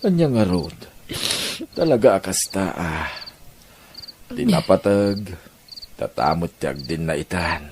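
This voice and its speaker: A man's voice: sits low, very animated, unhurried, long pauses, background noise. A man playing a devoted, tender middle-aged father and husband in an audio family drama, with an Ilocano Filipino accent. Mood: calm, reassuring.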